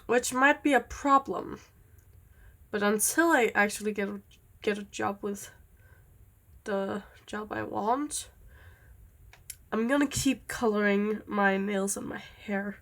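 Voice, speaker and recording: Young woman, slow unhurried pace, rambling casual vlog chat, easily sidetracked. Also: mid-range voice, a bit bright. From Denmark. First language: Danish. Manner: rambling, easily distracted, with many fillers. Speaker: vlogger. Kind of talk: casual chat while doing nails.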